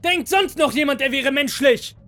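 A man voicing an angry, commanding master of a factory in an audio story, bossy and shouting. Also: very high voice, moderate pace, faint music behind, pitched above the performer's own voice. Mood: furious.

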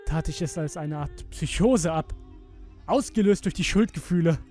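A young man acting a guilt-ridden, haunted twenty-year-old who gives a halting, emotional confession in a horror audio drama. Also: on the high side, mellow, close to an everyday voice. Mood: desperate, haunted. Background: music with violin.

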